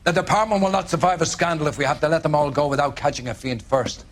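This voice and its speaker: An actor playing a stern, authoritative police captain in a game cutscene, speaking formally and with command. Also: male voice, fairly high pitch, quick pace, slight background noise, natural voice. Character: stern, authoritative male police captain lecturing his detectives. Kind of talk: naturalistic game cutscene acting.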